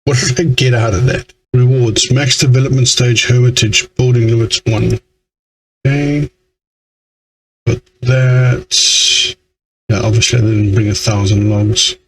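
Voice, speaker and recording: A male game streamer with a medium-pitched voice, grumbling through his commentary in exasperation. There is a faint hum behind him. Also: steady tone, unhurried, rough recording. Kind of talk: let's play commentary, reading game dialogue aloud.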